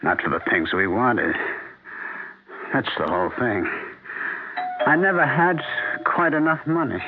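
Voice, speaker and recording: An actor playing a menacing, desperate killer in a 1970s radio drama. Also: male voice, mid-range voice, expressive, measured pace. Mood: broken, pleading. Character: menacing, desperate male killer hunting his victims. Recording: phone-like 1970s radio recording, faint noise.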